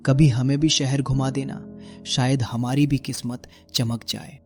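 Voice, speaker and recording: A man playing a flattering, money-minded brother-in-law in a village cartoon. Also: medium pitch, a little slow, soft background music, lightly acted. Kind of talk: Hindi cartoon story acting.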